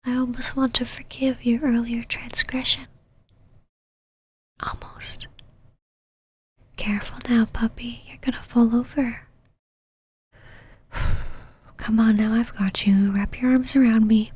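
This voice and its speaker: Casting: woman to play domineering female vampire knight for ASMR roleplay, breathy whisper, unhurried, teasing and possessive. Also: fairly high pitch, flat delivery, a little mellow, heavily acted. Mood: mocking, fond. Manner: slow, teasing, commanding.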